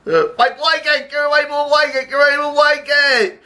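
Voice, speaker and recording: A timid male accountant driven to hysterics. The voice sits very high and piercing, with frantic, repetitive shrieks in the manner of broad comedy drama. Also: fast, sharp tone. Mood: nervous panic.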